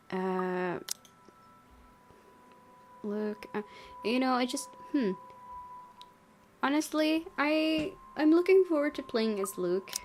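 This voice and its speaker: A woman's voice: medium pitch, slight background noise. A woman hosting a gaming video, chatting and reading aloud in a reactive way. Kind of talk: playthrough commentary and reading aloud. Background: game music.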